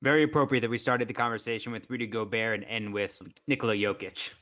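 A man's voice: medium pitch, steady tone, fast talker, a bit bright. An American man hosting a sports podcast in a conversational interview style.

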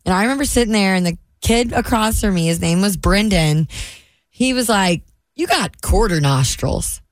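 A woman with a deep voice co-hosting morning radio, telling stories with giggles. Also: normal speed, dry recording. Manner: animated storyteller, giggly. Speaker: radio co-host.

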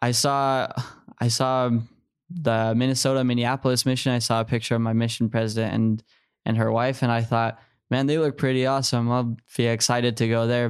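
A man's voice: mid-range voice, clear, resonant tone, no echo, clean studio sound. A man in his early twenties telling personal stories as a guest in a podcast interview. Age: early 20s. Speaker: podcast guest, returned missionary.

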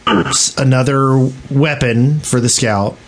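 A man's voice: medium pitch, slow. A man co-hosting a podcast, talking casually and speculatively in an informal group discussion. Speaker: podcast co-host. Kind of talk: casual speculative discussion of a video game update.

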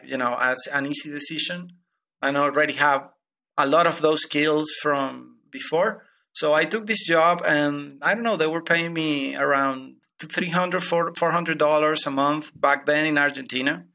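A man's voice: on the high side, pushed and bright. Give me a hesitant man in his thirties from Venezuela telling his life story. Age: 30s. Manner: hesitant, many repeated starts. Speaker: guest. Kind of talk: personal finance interview.

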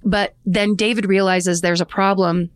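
A woman's voice: mid-range voice, steady tone, moderate pace, smooth, no room sound. A woman co-hosting a podcast, telling a true story in a casual, chatty way, often restarting her sentences and laughing. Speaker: podcast co-host.

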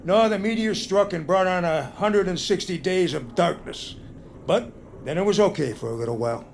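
A folksy teller of rambling tales in audio fiction. His voice is on the high side and earnest, with faint noise behind it. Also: moderate pace, creaky. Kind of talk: comic audio fiction acting.

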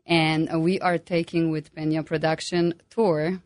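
A woman's voice: deep voice, level tone, measured pace, darkish tone, dry recording, studio quality. A woman from Poland with a Polish accent, speaking as an astrologer guest with many hesitations and fillers. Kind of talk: interview guest.

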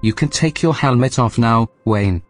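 A male actor voices a computer intelligence in an audio drama. It is composed and formal, with a smug edge and a medium pitch. Its delivery stays measured and matter-of-fact. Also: soft background music, natural voice.

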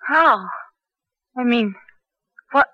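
A woman's voice: fairly high pitch, lively intonation, drawn-out words, dry recording. An actress playing a frightened but defiant young female prisoner in a 1970s radio drama. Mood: shocked, defiant. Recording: noisy, dull old radio recording.

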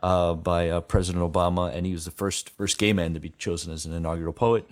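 A man hosting a casual interview, speaking with enthusiasm in halting, conversational phrases. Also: deep voice, flat delivery, a little mellow. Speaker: interview host. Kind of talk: casual chat.

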